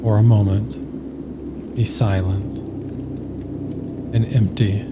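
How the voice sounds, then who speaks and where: Male sleep-meditation narrator. Flat, unhurried, soothing read with long pauses, over clearly audible ambient noise. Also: lowish voice. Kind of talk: scripted guided sleep meditation.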